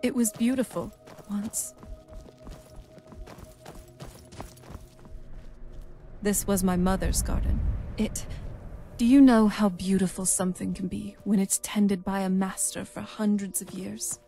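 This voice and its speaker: A woman's voice: medium pitch, fairly even intonation, moderate pace, mellow, soft background music, lightly acted. An actress playing a wistful, young-looking vampire noblewoman in a video game, speaking in a conversational way. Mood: dismayed, wistful. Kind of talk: video game character dialogue.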